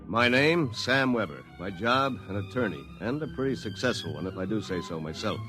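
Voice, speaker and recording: A man's voice: lowish voice, huge pitch swings, brisk. An actor playing a self-satisfied lawyer telling his own story, confiding and wry, as 1950s radio drama narration. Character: self-satisfied, successful male attorney recounting his story. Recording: 1950s radio broadcast, music behind.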